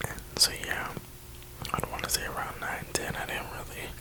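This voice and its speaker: Young man, early 20s, from the US East Coast, soft rambling whisper, close-miked for ASMR. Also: moderate pace. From New York and Florida, USA. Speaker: ASMR creator.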